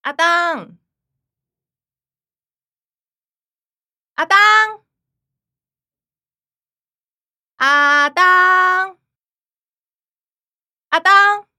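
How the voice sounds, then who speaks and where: Eager young taiwanese college girl in a radio play, bright high voice, kinda pleading. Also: extremely slow, heavily acted. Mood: anxious calling. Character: eager young female university student, pleading younger sister. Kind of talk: radio drama acting.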